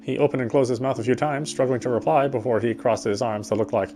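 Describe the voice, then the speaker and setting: A man's voice: medium pitch, steady tone, fast, background noise. A man reading first-person story narration aloud for a visual novel game. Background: faint music.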